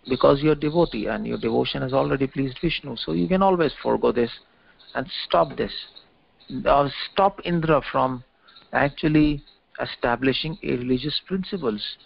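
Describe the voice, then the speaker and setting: A man with an Indian accent giving an extempore religious lecture on scripture, haltingly, repeating and restarting phrases as he explains. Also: mid-range voice, gravelly. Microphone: conference call line. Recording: dull lo-fi call audio, faint noise, clicks.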